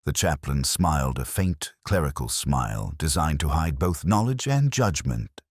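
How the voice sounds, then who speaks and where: A man delivering scripted literary detective narration in a measured, wry, reflective and formal manner. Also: extremely low pitch, big pitch swings, husky, no room sound, clean studio sound. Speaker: first-person narrator of a detective story.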